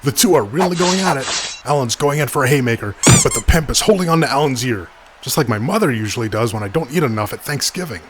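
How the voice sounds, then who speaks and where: A man's voice: medium pitch, expressive, quick pace, very noisy, lightly acted. A man playing an earnest, chatty play-by-play commentator in a cartoon wrestling show. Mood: earnest, narrating. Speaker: match commentator.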